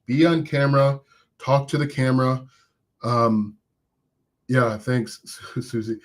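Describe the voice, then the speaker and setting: A man with a voice of middle pitch, speaking as an online business coach on a live stream. He talks casually and encouragingly to his viewers, often restarting his sentences. He says he has an accent himself. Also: fairly even intonation, slow. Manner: conversational and encouraging, with frequent restarts.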